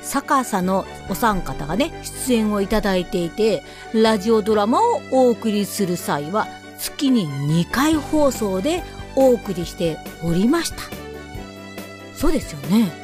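A woman's voice: mid-range voice, sing-song, measured pace, over music. A woman hosting a radio show, chatting politely with playful exclamations as she introduces a drama.

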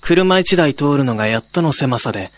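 A man acts as a young college student recounting a summer ghost story in a radio drama. His pitch is fairly high and moves with lively variation between narration and dialogue. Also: normal speed, a little hiss or hum, close to an everyday voice. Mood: somber, reflective.

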